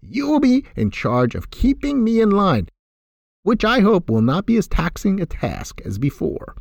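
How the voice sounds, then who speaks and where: A man's voice: high voice, huge pitch swings, measured pace, gravelly, darkish tone, no echo, studio quality, voice pushed up, exaggerated voice. Man doing a giddy sing-song reformed boss.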